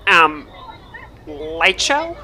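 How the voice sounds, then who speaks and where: A woman's voice: low-pitched, sing-song, extremely slow, pushed and bright, a faint crowd, exaggerated voice. A woman playing an overlooked male superhero sidekick in a musical audio drama, hesitant and self-deprecating. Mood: hesitant, put-upon. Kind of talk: musical audio drama, dialogue and solo song.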